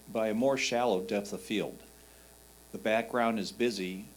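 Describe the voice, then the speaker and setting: A man's voice: on the low side, slight background noise. A man reading a judge's written photo comments aloud.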